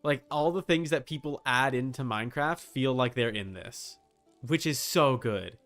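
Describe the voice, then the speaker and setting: A man's voice: mid-range voice, normal speed, a bit bright, faint music behind. A man giving casual livestream commentary on a game, thinking aloud in slangy language with some swearing. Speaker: livestream host.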